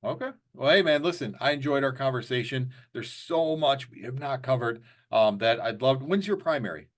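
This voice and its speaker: A man's voice: mid-range voice, normal speed. Chatty joking male interviewer. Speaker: interview host. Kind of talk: casual political interview.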